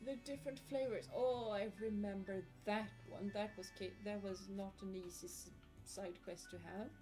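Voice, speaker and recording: A woman's voice: medium pitch, steady tone, moderate pace, dark tone, faint music behind, poor audio. A woman streamer giving casual gameplay commentary, chatty and muttering asides to herself.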